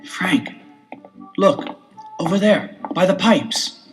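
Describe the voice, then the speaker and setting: An actor playing an eager, excitable teenage boy detective, the questioning younger sidekick in a scripted audio adventure. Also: sits high, slow. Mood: alarmed. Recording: 1970s LP radio-play, faint music.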